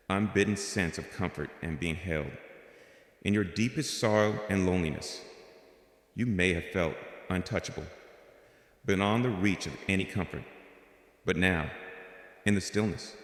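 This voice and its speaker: A man with a very low voice reads a devotional script slowly and gently, speaking as God in the first person. His soothing delivery rings out with a long, hall-like reverb around it.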